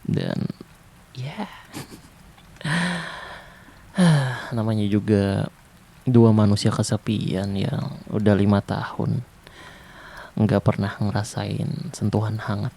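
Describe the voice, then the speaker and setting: Slow, gravelly young man, soft and intimate. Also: fairly low pitch. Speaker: ASMR roleplay voice actor. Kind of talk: ASMR boyfriend roleplay.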